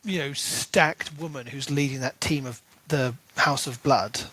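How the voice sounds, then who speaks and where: A man's voice: medium pitch, measured pace, pushed and bright, slightly rough. A man co-hosting a podcast, eagerly laying out theories about a book in casual chat, with lots of "you know" and "like". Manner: enthusiastic, theorizing, many fillers. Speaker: podcast co-host.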